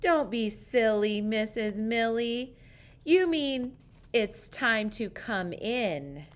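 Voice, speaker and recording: Playful storybook schoolchildren, voiced by a woman, loud and insistent, high pitch, slow drawn-out emphasis on corrections. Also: lively intonation. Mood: amused, insistent. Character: giggling young pupils correcting their silly teacher in chorus.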